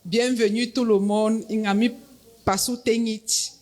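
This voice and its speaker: Slow, hesitant woman at church. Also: a little high, fairly even intonation, darkish tone. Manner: hesitant, halting delivery. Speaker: church member.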